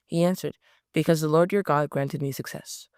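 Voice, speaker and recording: Man, bible reader, smooth yet gravelly voice, dry close sound, occasional self-corrections. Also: on the high side, normal speed, dry recording. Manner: steady reading with frequent stumbles and self-corrections. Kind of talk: reading scripture aloud.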